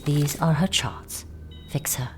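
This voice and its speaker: An actress playing a calm, firm woman doctor in a sci-fi audio drama, hushed and deliberate. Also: low-pitched, in a whisper. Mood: firm, coaxing. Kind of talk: full-cast sci-fi audio drama acting.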